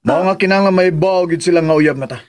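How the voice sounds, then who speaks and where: A man's voice: high-pitched, quick pace, natural voice. An actor playing a bossy, insistent male employer in a radio drama, brusque and commanding, with a Filipino Visayan accent.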